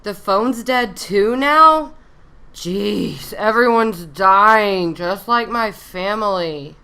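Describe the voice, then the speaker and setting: A woman's voice: medium pitch, extremely slow, pushed and bright, clear, resonant tone, exaggerated voice. A woman playing a wry, languid femme fatale in a noir radio drama. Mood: wry, weary.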